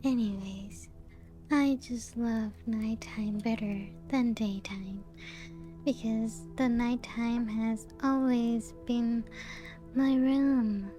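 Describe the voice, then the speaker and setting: Woman as teasing female vampire castle guide, ASMR roleplay. Very slow, hushed delivery, higher-pitched. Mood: soft, inviting. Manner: slow, hushed, often breaking into whispers and soft chuckles. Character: playful, teasing female vampire showing guests her ancient castle. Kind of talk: soft-spoken ASMR roleplay. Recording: music playing behind the voice. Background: background music.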